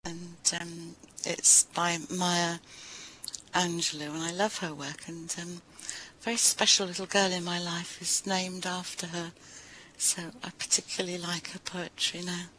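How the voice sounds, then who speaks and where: A woman reciting poetry to listeners in a measured, deliberate way, with brief informal introductions. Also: fairly low pitch, flat delivery, pushed and bright, a little hiss or hum, poor audio. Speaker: poetry reader at a live event.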